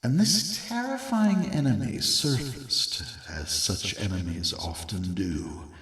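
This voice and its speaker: A man playing a solemn B-movie prologue narrator, speaking in a grave, deliberate and portentous way. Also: medium pitch, animated, a bit bright. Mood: ominous. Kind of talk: scripted dramatic film prologue narration. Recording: echoey, distant from the mic.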